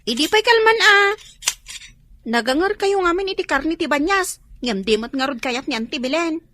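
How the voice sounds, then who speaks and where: A woman's voice: high-pitched, lively intonation, normal speed, pushed and bright, dry recording, studio quality. A woman with an Ilocano accent playing a coaxing young niece in a radio comedy drama. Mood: teasing. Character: young niece wheedling money from her aunt.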